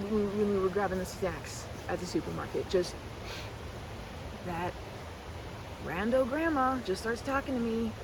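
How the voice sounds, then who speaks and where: A woman's voice: mid-range voice, background noise, natural voice. A woman playing a chatty, affectionate, self-deprecating best friend in a casual roleplay monologue, rambling and interrupting herself with tangents.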